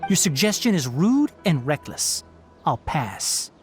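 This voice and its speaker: A man's voice: fairly high pitch, sing-song, slow, faint music behind. A young man playing a stern, principled scholar and healer in a video game, formal and blunt. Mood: firm disapproval. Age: young adult. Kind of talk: video game character acting.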